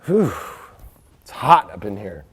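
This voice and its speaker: A man with a raspy voice hosting a casual live tarot reading, rambling and excitable, with lots of fillers and sudden loud outbursts. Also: on the high side, takes it slowly. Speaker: tarot reader and astrologer.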